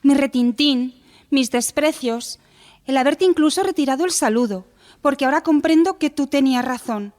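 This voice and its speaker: An actress plays a contrite, downcast teenage girl in a radio drama. Her high voice has a theatrical delivery and a long room reverb. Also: moderate pace, darkish tone, natural voice. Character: Valentina, repentant, downcast seventeen-year-old young woman.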